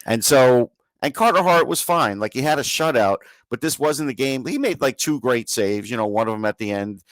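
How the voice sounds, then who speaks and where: A man's voice: medium pitch, animated, normal speed, no echo. A man co-hosting a sports podcast, giving casual, opinionated analysis in an emphatic, conversational way. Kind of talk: casual hockey analysis chat.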